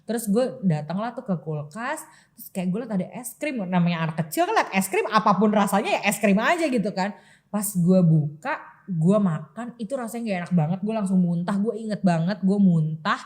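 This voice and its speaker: A woman's voice: medium pitch, expressive, a little fast. Young Jakarta woman chatting casually. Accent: Jakarta Indonesian. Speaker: podcast co-host. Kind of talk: casual chat, personal storytelling.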